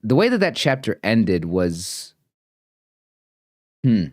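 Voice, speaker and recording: A man's voice: lowish voice, sing-song, slow, no room sound, clean studio sound. A man of twenty-nine talking casually and earnestly to camera in a vlog, rambling with fillers and restarts. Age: 20s. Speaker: vlogger. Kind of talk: casual reflective vlog talk.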